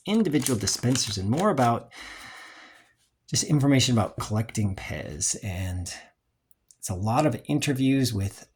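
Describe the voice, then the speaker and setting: A man's voice: fairly low pitch, creaky, slightly rough. A man giving a casual, unscripted review in a rambling, hesitant way, as the host of a collecting video.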